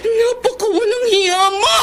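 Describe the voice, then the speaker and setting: A woman's voice: very high-pitched, measured pace. A woman playing an anguished, accusing middle-aged betrayed wife in a Filipino radio drama, tearful and confrontational, with a Filipino accent. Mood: tearful outrage. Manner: tearful, confrontational, emotionally heightened. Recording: dramatic music behind the voice.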